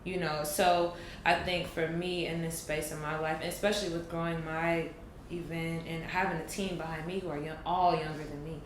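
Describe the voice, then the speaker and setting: A woman in her late twenties speaks in a lowish voice, pausing to weigh her words and then pressing a point with force. The room around her is echoey. A faint hiss sits under the recording. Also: level tone. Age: 20s. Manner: thoughtful, slow and deliberate with emphatic bursts. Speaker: podcast guest. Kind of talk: reflective casual conversation.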